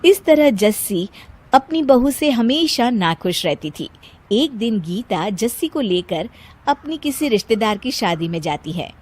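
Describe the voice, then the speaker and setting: A woman reads a scripted story for animation as its narrator. Her voice is very high, and her intonation moves in lively swings. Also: unhurried, husky.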